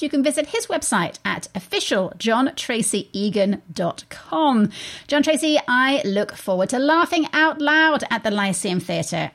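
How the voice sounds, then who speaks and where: Female arts radio host, low-key creak in the voice, articulate, wry phrasing, moves between interview and scripted intro.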